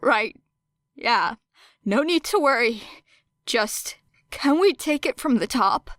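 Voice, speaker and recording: A woman's voice: fairly high pitch, expressive, slow, a bit bright, no room sound, clean studio sound, pitched above the performer's own voice. A woman voicing an alarmed, protective teenage boy who stammers and swears urgently in an audiobook. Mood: shaken, strained. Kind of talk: audiobook character acting.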